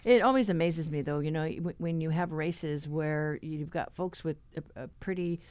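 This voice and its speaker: A woman's voice: sits low, normal speed, a little mellow, clean tone, no room sound. A woman hosting a public radio program in a conversational manner on a studio microphone. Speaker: radio host. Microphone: close studio microphone.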